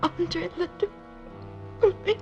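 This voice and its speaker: Dying widow, very high voice, dragging slow, gasping, music beneath, old radio drama. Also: female voice, fairly even intonation, dull audio, full character voice. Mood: dying, strained. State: weak, gasping. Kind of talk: 1940s radio acting.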